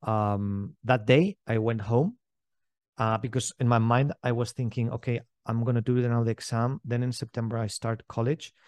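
A man's voice: medium pitch, fairly even intonation, moderate pace, a little mellow, dry recording. Man, dry close sound. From Spain. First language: not English. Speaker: podcast guest, entrepreneur. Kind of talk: casual interview storytelling.

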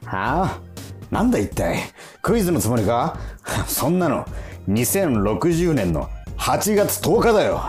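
An actor in a radio drama playing a gruff, cocky time-patrol officer, rough and condescending, easily irritated. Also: male voice, medium pitch, sing-song, a little slow, a little mellow, soft background music. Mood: irritated, defiant. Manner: condescending, rough interrogator talk.

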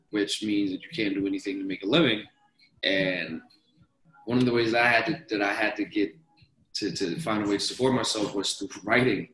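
A man's voice: on the low side, fairly bright tone, reverberant, low-quality recording. A young man from Denmark, a podcast guest talking about investing, who rambles and restarts his sentences often. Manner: rambling, many restarts and repeated words. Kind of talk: casual interview talk.

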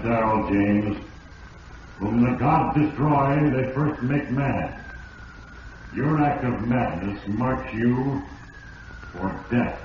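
A man playing Fate, a solemn and implacable radio drama narrator who speaks gravely and deliberately as he passes judgment. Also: mid-range voice, lightly acted. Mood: stern, condemning. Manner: grave, portentous, deliberate delivery. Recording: muffled, echoing old radio recording, distant, hissy.

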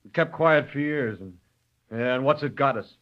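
A man's voice: mid-range voice, normal speed, natural voice. A man playing an angry, fed-up young mill worker in a 1930s radio drama, with a rural drawl. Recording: dull old radio recording.

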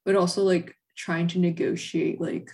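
A young woman hosting a casual interview, talking in a halting, conversational way peppered with "like". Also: lowish voice, flat delivery, a little mellow. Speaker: podcast host, interviewer.

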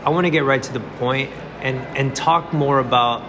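A young male podcast host of middling pitch who talks in an eager, chatty way and keeps the tone casual. Clear background noise runs under his voice throughout. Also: moderate pace. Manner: eager, chatty, addresses guest as bro and man. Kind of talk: casual interview chat.

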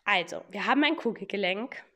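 A young woman who hosts a podcast and teaches yoga, talking in a relaxed way with a slight cold and often asking "ja?" as she explains. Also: medium pitch, a little fast. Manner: chatty, many fillers, explains with rhetorical "ja?". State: has a cold.

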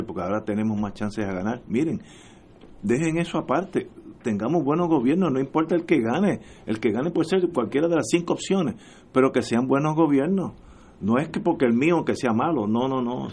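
An impassioned male radio host with a Puerto Rican accent gives political commentary. His voice is husky and mid-pitched. He speaks with emphasis, repeating short phrases to drive the point. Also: a little mellow. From Puerto Rico. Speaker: radio talk show host.

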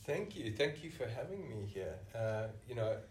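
A man's voice: mid-range voice, very animated, moderate pace, darkish tone, recorded from a distance, slight background noise. A man with a South African accent, a senior clinician answering interview questions thoughtfully, often restarting with "you know". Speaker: senior clinician, podcast guest. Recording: echoey room, voice off-mic.

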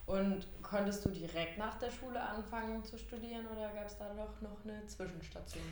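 A woman's voice: medium pitch, normal speed, a little mellow. Woman interviewer in a very echoey room. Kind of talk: casual, informal interview questions.

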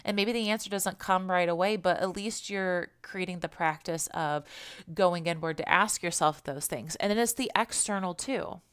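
A woman in her thirties talks through a solo podcast episode in a gentle, musing way, circling back on her words and asking questions aloud. Her voice sits a little low and stays smooth and even, though it sounds slightly congested and hoarse. The delivery is relaxed and reflective. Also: normal speed. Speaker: podcast host. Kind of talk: casual spiritual podcast monologue.

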